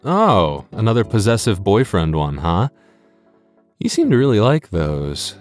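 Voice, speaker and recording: Male audio creator, deep voice, awkward self-deprecating casual outro delivery, light music underneath. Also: sing-song, unhurried. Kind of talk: casual skit-like outro chat to listeners.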